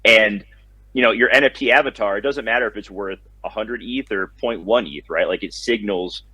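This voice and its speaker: A man with a low, husky voice co-hosts a casual interview show. He sounds close and dry as he reflects on his guest's work and affirms it. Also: normal speed, bright, forceful tone, no echo, low-quality recording. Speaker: podcast co-host.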